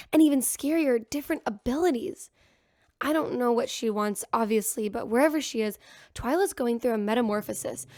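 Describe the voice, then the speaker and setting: A woman voicing an excitable ship's doctor who talks in a confiding, eager way in a radio drama. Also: on the high side, no room sound, clean studio sound, close to an everyday voice. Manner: breathless, confiding. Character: excitable female ship doctor and scientist.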